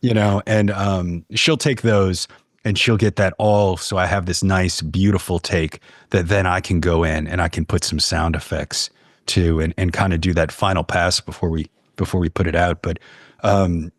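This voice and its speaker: A man with a very low voice, recorded dry with no room sound. He talks casually as an interview guest, explaining things in a halting way and circling back over his phrases. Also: steady tone, no echo. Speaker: podcast guest, audio producer and narrator.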